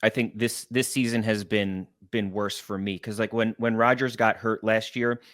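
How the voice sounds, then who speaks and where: A man in his thirties hosting a casual sports interview, speaking haltingly and often repeating words as he goes. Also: on the low side, steady tone, fairly bright tone, dry recording, clean studio sound. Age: 30s. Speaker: podcast host, interviewer.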